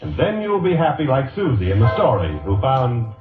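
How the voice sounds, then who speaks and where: A man's voice: medium pitch, very animated, moderate pace, distant mic, music in the background. An American man reading 1940s radio advertising copy with upbeat, emphatic salesmanship. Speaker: radio commercial announcer. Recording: boxy vintage radio recording, echoey, narrow band.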